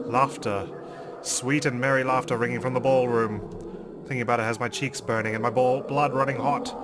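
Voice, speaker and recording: A man hosting a let's play, reading a visual novel aloud in a measured, theatrical way. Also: mid-range voice, noisy background. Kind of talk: dramatic read-aloud narration of a visual novel with commentary.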